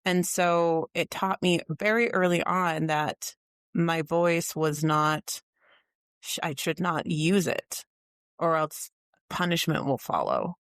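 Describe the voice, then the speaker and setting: A woman's voice: sits low, expressive, slow pace, clean tone, dry recording, studio quality. A woman co-hosting a podcast, talking earnestly and thoughtfully, often with a laugh. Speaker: podcast co-host. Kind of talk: casual reflective chat.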